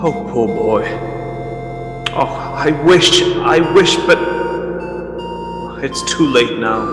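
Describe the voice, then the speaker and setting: A man playing a fearful, remorseful old miser in a radio play, with halting, emotive, theatrical delivery. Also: medium pitch, lively intonation, reverberant, in a large hall. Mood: wistful, remorseful. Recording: music behind the voice.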